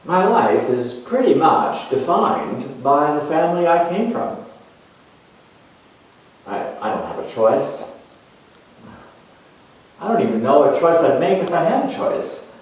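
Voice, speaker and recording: A man playing a bored, burdened young man who resents his father, in a halting dramatized monologue. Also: on the high side, very animated, pitched above the performer's own voice. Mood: resigned, resentful. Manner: weary, halting, resigned. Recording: distant mic in echoey room.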